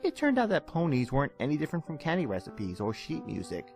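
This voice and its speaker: A man narrates a dramatic story with a theatrical, expressive delivery. His pitch moves in lively swings around a medium register, with soft music underneath. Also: fast talker.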